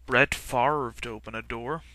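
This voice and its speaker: A man's voice: medium pitch, steady tone, measured pace, bright, forceful tone, slight background noise. A man giving sarcastic review commentary in a teasing, emphatic way. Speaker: comic reviewer.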